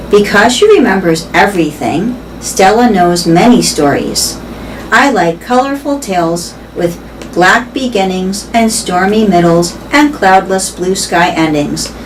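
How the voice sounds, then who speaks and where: A woman reading a children's book aloud in a deliberate, expressive storytelling style. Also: fairly low pitch, poor audio. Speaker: read-aloud reader for elementary pupils. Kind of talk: children's book read-aloud, scripted narration. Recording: faint background noise.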